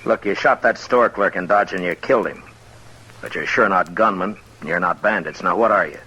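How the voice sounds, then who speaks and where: An American actor playing a weary, firm frontier marshal in an old radio western, terse, measured and authoritative. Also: male voice, sits low, sharp tone, slightly rough, exaggerated voice. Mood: dry, firm. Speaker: lead actor and narrator. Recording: noisy vintage radio recording.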